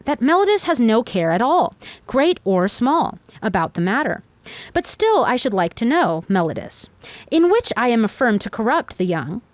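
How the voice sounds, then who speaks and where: A woman reads the part of an elderly male philosopher defending himself before his judges. He questions his accuser with sharp rhetorical turns, and the voice swings widely in pitch. The recording is dry and close. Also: no room sound. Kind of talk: reading a dialogue aloud.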